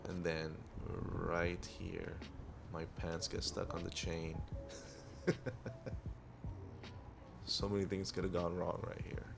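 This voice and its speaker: A man with a deep voice narrating his own vlog in a laid-back, rambling voiceover. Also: little pitch movement, takes it slowly, vocal fry, long pauses, over music. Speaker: vlogger. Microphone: clip-on wireless mic.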